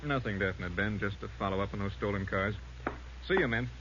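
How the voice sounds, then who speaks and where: An actor playing a breezy, sociable detective with a teasing, coaxing manner in 1940s radio banter. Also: male voice, fairly low pitch, fast talker, close to an everyday voice. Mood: chummy, coaxing. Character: breezy, wisecracking male motor-theft detective, sociable pal. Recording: dull vintage radio recording, faint hiss.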